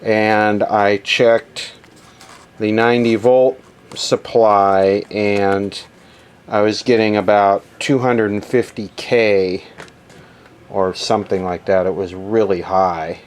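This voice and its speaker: A man's voice: lowish voice, fairly even intonation, slow, clear, resonant tone. A man giving a casual, matter-of-fact running commentary as he does hands-on repair work, thinking aloud. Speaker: electronics repair video host.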